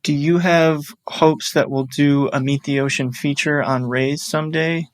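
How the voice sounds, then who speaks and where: A man on a podcast team, speaking in a measured, reflective way in a casual chat. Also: a little high, fairly even intonation, no echo.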